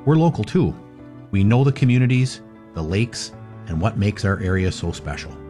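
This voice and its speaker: A man reading a scripted bank advertisement in a smooth, reassuring way. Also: lowish voice, lively intonation, moderate pace, dark tone, faint music behind. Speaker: ad announcer. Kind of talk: scripted ad read.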